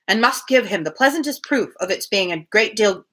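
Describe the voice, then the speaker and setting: A woman's voice: mid-range voice, animated, moderate pace, fairly bright tone. A woman reading a classic novel aloud as the host of a live reading, at times speaking casually. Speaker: reader hosting a daily live book reading.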